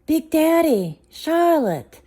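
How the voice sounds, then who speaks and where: A woman's voice: high-pitched, big pitch swings, slow pace, dark tone, pitched above the performer's own voice, full character voice. A woman voicing a kind young princess chef in a bedtime storybook, in a reassuring tone. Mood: welcoming.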